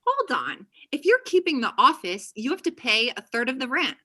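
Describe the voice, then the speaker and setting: Woman playing a blunt, higher-voiced young woman. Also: big pitch swings, normal speed, pushed and bright, exaggerated voice. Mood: confrontational. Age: young adult. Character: defensive, blunt young woman, needy friend. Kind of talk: table read acting. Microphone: video call.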